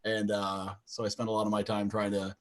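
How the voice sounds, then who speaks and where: A man's voice: on the low side, completely flat delivery, a little fast. A man chatting as a guest on a video call in a dryly joking, casual manner. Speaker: guest, wedding DJ.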